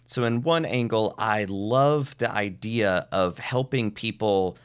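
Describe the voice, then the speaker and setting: A man with a clean, smooth and fairly low voice, talking casually as a podcast guest. He tells stories conversationally, slipping into quoted remarks as he goes. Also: takes it slowly. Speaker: podcast guest, professional mentalist.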